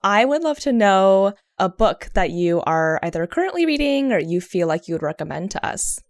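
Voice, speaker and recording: A woman's voice: medium pitch, sing-song, normal speed, no room sound. A woman co-hosting a podcast, giving introductions and putting questions to a guest. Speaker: podcast co-host.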